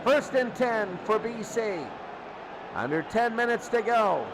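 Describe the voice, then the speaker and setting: A man's voice: high-pitched, animated, slow pace. A man giving live play-by-play football commentary in short, clipped calls with repeated phrases. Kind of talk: live radio sports commentary. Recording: very noisy game ambience.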